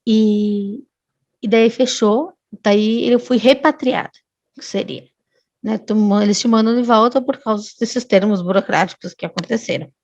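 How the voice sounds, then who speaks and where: A woman's voice: mid-range voice, normal speed, darkish tone, no room sound, muffled recording. A woman, a guest telling a long personal story in casual chat, often restarting and adding tag questions. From Brazil.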